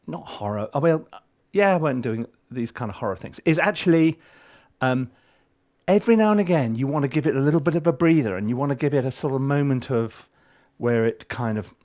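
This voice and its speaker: A man giving a casual video tutorial as a composer and tutor, with a playful, rambling and animated way of talking. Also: mid-range voice, normal speed, pauses often. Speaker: film composer and music tutor.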